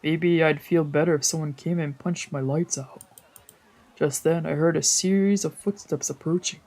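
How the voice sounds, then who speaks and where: A woman's voice: deep voice, steady tone, moderate pace, mellow. A woman VTuber streamer reading visual novel narration aloud in a dramatic storytelling style.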